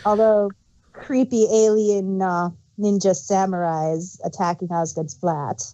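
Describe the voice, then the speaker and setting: A woman co-hosting a casual review podcast, speaking in a halting way and often restarting her sentences. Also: medium pitch, steady tone, mellow, no echo. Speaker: podcast co-host reviewing audio dramas. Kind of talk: casual unscripted chat. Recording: dull, dry podcast microphone.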